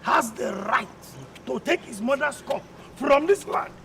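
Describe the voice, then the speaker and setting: A man's voice: extremely high pitch, expressive, measured pace, gravelly, full character voice. A man acting a stern village elder in a drama, declaiming emphatically with a Nigerian accent. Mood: indignant. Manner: emphatic, declamatory, proverb-laden. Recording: crowd murmur behind.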